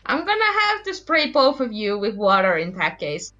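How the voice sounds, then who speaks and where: A woman's voice: medium pitch, wildly swooping pitch, normal speed, pushed and bright, muffled recording, soft background music. A young woman co-hosting a drawing stream, chatting casually on a call and laughing and teasing often. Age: young adult. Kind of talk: casual chat.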